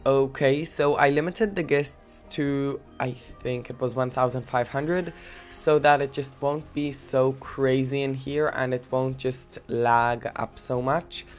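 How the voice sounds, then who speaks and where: A man's voice: mid-range voice, fairly even intonation, takes it slowly, soft background music. A young man with a blocked, stuffy nose from a cold, giving rambling, giggly gameplay commentary. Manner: rambling, giggly, many fillers. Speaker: let's-play gamer.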